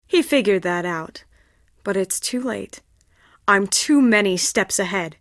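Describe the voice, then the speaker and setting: Gloating villainess, slow deliberate pace, dry close sound, smug, menacing, video game drama. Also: female voice, mid-range voice, lively intonation, a bit bright, dry recording.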